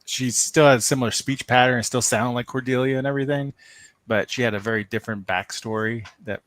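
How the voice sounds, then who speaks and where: A man's voice: mid-range voice, steady tone, fast, a bit bright. A man casually sharing his opinions as a panelist in a fan discussion.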